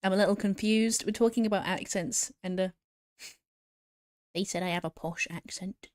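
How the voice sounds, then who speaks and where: A woman streamer chats loosely with her viewers as she sketches, answering questions between giggles. Her voice sits at an everyday middle pitch. The sound is dry, with no room around it. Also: steady tone, normal speed, a little mellow, no room sound. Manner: chatty, giggly, answering chat. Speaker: VTuber streamer. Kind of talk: casual chat with viewers while drawing.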